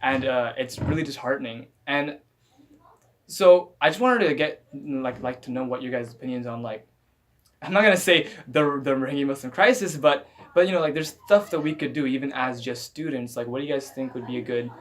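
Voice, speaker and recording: A young man hosting a casual podcast chat, earnest and halting, repeating words and filling pauses. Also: medium pitch, fairly bright tone, slight background noise. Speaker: podcast host.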